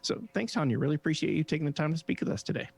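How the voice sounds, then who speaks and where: A man hosting a developer podcast, relaxed and loose, with plenty of fillers while wrapping up. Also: a little high, rapid-fire, vocal fry, husky. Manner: relaxed, many fillers and restarts. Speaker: podcast host. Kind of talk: casual podcast wrap-up.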